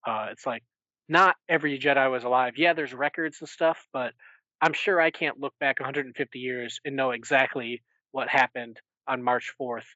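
A man's voice: medium pitch, fairly even intonation, moderate pace, pushed and bright, no echo. A man co-hosting a podcast in a casual fan discussion, recorded in dry, dull, lo-fi sound. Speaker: podcast co-host.

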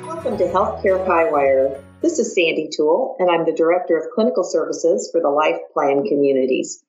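A woman's voice: low-pitched, expressive, moderate pace, darkish tone, lo-fi sound, soft background music. A woman from the American Midwest with an American accent, hosting a conversational podcast interview. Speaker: podcast host.

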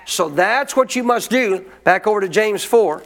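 A man with a high-pitched voice preaching a sermon. He teaches as a pastor in a loose, colloquial way. He keeps prompting the congregation to answer back. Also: lively intonation, normal speed, fairly bright tone. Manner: call-and-response preaching, colloquial.

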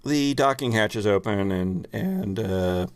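Slow, creaky-voiced man as game master. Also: on the low side, lively intonation, dry recording, soft background music. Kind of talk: improvised tabletop game narration.

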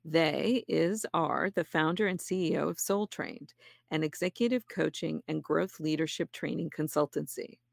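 A woman hosting an interview podcast, effusive and upbeat as she welcomes her guest. Her voice has a gravelly fry. The recording is dry, with no room around it. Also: sits low, moderate pace, no echo. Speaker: podcast host. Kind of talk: scripted intro, then casual interview chat.

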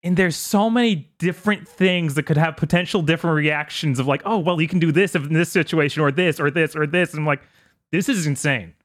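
A man's voice: on the high side, fairly quick, fairly bright tone. A man co-hosting a casual video game podcast, rambling easily and laughing often. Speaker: podcast co-host. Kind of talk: casual chat about video games.

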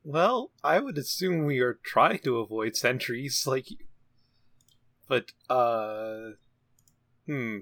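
A man's voice: mid-range voice, lively intonation, takes it slowly, long pauses, a bit bright, dry recording. A man improvising casually as a tabletop game player, tentative and thinking aloud. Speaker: guest player.

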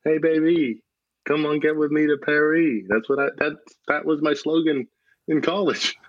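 A man playing a cocky, creepy company executive running a deadpan internship interview in an improvised comedy podcast. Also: fairly high pitch, normal speed, no room sound, lo-fi sound, pitched above the performer's own voice, lightly acted. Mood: smug, boastful.

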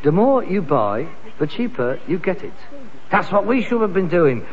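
Theatrical, high-pitched London son. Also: male voice, sing-song, moderate pace. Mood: scheming, persuasive. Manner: theatrical, sarcastic, indignant. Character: frustrated grown-up son, junk trader with big ideas. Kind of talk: 1960s British sitcom acting. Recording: dull, muffled old sitcom recording.

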